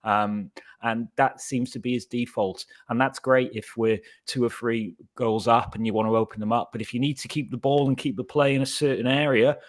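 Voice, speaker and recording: A man's voice: mid-range voice, steady tone, normal speed. A man on a football podcast panel, giving earnest, playful opinions with stammering restarts. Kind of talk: casual podcast chat.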